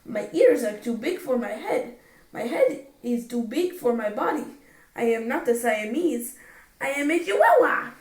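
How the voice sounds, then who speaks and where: Woman playing an excitable, high-pitched little boy kitten. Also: measured pace. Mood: dramatic, boastful. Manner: bouncy, boastful, exclamatory. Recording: roomy, distant microphone.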